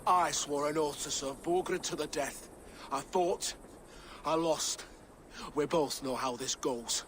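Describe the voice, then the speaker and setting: A stubborn middle-aged thane, gruff, raised pitch, over score. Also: male voice, takes it slowly, a bit bright, slight background noise. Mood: defiant. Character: defiant older male warrior thane. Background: game score.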